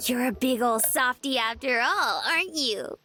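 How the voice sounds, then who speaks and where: A woman's voice: high voice, animated, normal speed, bright, forceful tone. An actress playing a naive, bubbly young woman in a visual novel, chatty, girlish and a little hesitant, with music underneath. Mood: cheerful, playful. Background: game music.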